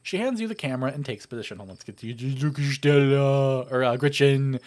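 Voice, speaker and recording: Low-voiced man playing a game. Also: fairly quick, a little mellow. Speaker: let's play host. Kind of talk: casual game playthrough, reading choices aloud and reacting. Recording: music playing behind the voice.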